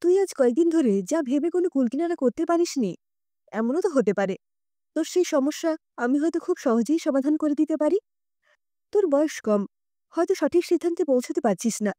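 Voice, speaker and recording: Woman narrator as watchful mother, audio story; soft dark tone, gently persuasive, close dry sound. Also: high voice, expressive, fairly quick, no echo. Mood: coaxing. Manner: coaxing, reassuring. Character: caring, watchful middle-aged mother coaxing her daughter.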